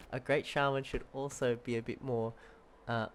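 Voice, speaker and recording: A woman's voice: very deep voice, little pitch movement, takes it slowly. A woman giving casual, amused commentary in short murmured reactions while playing a game. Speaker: game streamer reacting to story scenes.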